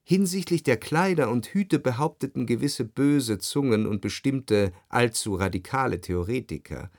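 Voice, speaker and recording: An actor playing a refined first-person narrator in a radio drama, speaking in a measured, precise, reflective literary manner. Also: male voice, medium pitch, expressive, dry recording, clean studio sound, close to an everyday voice. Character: reflective, refined male narrator recalling Parisian salon society. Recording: faint music behind the voice.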